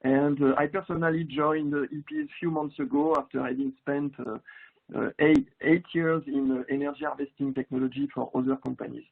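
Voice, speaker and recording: A man's voice: mid-range voice, steady tone, a little fast, gravelly, husky. A man with a French accent giving a technical webinar presentation, hesitant with many fillers and restarts. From France. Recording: tinny phone-like line.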